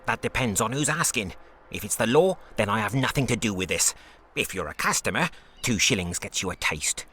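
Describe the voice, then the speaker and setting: Casting: shady British liquor seller for a game, blunt and guarded, bright forceful delivery. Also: male voice, lowish voice, animated, fairly quick, husky, exaggerated voice. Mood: wary. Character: gruff, wary shady British tavern keeper selling homemade liquor. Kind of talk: video game voice acting.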